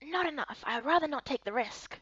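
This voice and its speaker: A woman's voice: sits high, expressive, a little fast, fairly bright tone, dry recording, lo-fi sound. A woman voicing a blunt, touchy but protective young male companion in a fantasy game. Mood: cautious, firm.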